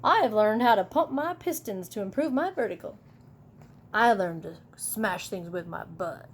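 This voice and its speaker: A woman's voice: medium pitch, animated, a little slow, husky. A woman voicing proud male monster truck brothers from a storybook, bragging in technical terms.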